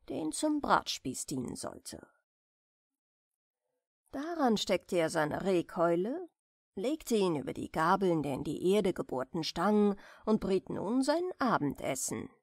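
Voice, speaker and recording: A woman reading a bedtime story aloud in a soft, gentle, measured storytelling manner. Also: medium pitch, no echo, clean studio sound. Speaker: audiobook reader of bedtime stories.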